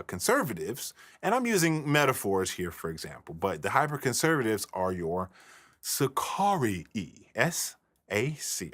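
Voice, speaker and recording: A man teaches in a loose, improvised lecture style. His pitch moves around a lot, and his delivery is colloquial and emphatic, leaning hard on key words. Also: fairly bright tone. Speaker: religious history teacher.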